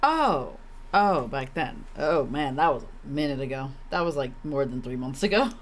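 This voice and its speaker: A woman's voice: a bass voice, very animated, normal speed, slight background noise. A woman giving casual live game commentary in a wry, blunt, slangy way. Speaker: game streamer.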